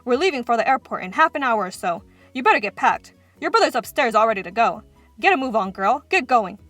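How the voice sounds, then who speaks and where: A woman's voice: on the high side, sing-song, brisk, a bit bright, dry recording, faint music behind. A woman voicing a bad-tempered, impatient storybook father who snaps out curt orders.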